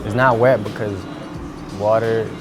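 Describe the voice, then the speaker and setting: A man's voice: lowish voice, steady tone, measured pace. A man from Thailand answering casually in an on-camera interview. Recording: music behind speech.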